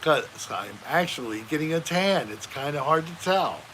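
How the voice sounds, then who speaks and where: A man's voice: on the high side, lively intonation, normal speed, a bit bright, a little hiss or hum. A man hosting a casual livestream chat outdoors by a fence, joking and rambling in a self-mocking way. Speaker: livestream host.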